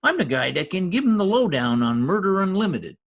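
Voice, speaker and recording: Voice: mid-range voice, big pitch swings, a little fast. A performer in a 1940s-style radio comedy-mystery voicing a shady, wisecracking informant with slangy, ungrammatical talk. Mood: brash, insistent. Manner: streetwise slangy talk with bad grammar. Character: shady, wisecracking lowlife gangland informant nicknamed Piggy. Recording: husky-sounding home recording over video call.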